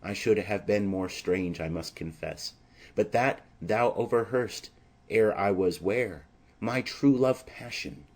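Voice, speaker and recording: Man playing a smitten, anxious young maiden, soft-edged husky voice. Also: lowish voice. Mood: tender, earnest. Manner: earnest, measured Shakespearean verse delivery.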